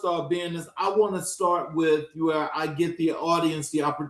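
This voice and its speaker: A man hosting an interview, welcoming his guest and the audience and asking long, structured questions. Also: fairly high pitch. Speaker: host. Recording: echoey room audio.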